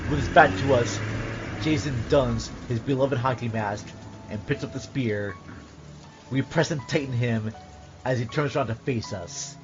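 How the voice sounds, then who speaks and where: Dramatic male narrator over music, dull audio. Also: mid-range voice. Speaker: podcast host. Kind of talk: dramatic script narration and casual chat.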